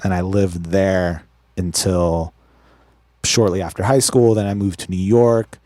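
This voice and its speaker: A young man from Israel, a guest musician telling his story in a relaxed, unhurried way, with plenty of fillers. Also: deep voice, no echo, studio quality. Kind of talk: casual interview.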